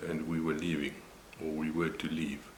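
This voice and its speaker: Deep-voiced older Afrikaans-man. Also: flat delivery, echoey room, a little hiss or hum. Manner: unhurried, reflective, many pauses and fillers. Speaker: vlogger. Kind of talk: personal health vlog.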